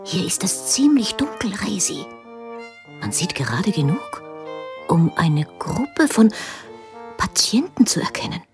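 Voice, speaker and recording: An impressionable, genteel mother in a radio drama. She speaks slowly and hesitantly with wide swings of intonation, over clearly audible music. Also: medium pitch, very animated, a little mellow. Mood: hushed, impressed. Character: genteel, impressionable wife and mother, middle-aged. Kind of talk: German radio drama acting. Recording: music behind the voice. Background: instrumental music.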